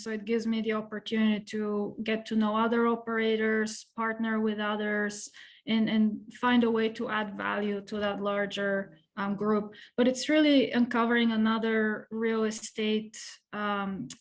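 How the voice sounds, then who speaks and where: A woman from Bulgaria answering interview questions as a guest, speaking in a measured, earnest way with light fillers. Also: medium pitch. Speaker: podcast guest, real estate investor.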